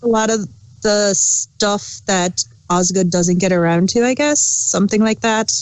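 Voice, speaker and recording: A woman with a mid-pitched voice speaks at a slow pace. She co-hosts a casual review chat, explaining things informally and a little haltingly. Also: no room sound, low-quality recording. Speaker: podcast co-host reviewing audio dramas.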